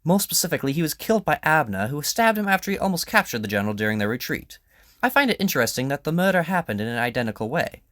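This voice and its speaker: A confident, incisive detective acted by a man from an audio drama. He speaks in a raised, very animated voice with a commanding, probing edge. The delivery is theatrical and declarative, recorded close and dry. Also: a little high, fast, dry recording, pitched above the performer's own voice. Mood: confident, expository.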